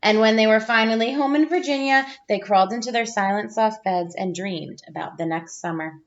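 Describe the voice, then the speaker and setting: A woman's voice: medium pitch, brisk, muffled recording. A woman teacher reading a storybook aloud to pupils, expressively, drawing out phrases for emphasis.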